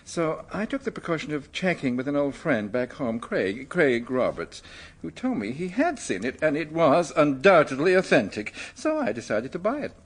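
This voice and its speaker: An actor playing an evasive, easily flustered American manuscript collector in a radio drama, polite but defensive, sometimes stammering. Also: male voice, on the high side, sing-song, normal speed.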